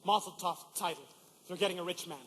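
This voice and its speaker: Man playing a dry, high-voiced young tutor. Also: fast talker, a bit bright. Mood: disapproving. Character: dry, disapproving idealistic young male tutor. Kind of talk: stage musical acting. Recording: echoey hall stage recording from afar.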